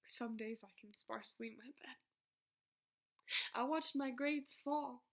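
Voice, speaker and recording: A young woman performing slam poetry in an emotional, vulnerable, rhythmic delivery that drops into hushed phrases. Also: fairly high pitch, slow pace. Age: young adult. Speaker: slam poet.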